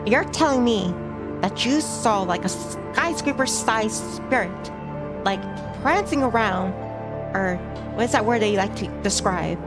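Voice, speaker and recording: A woman reading a visual novel aloud as a streamer, narrating in measured phrases with casual commentary. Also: medium pitch, expressive. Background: music.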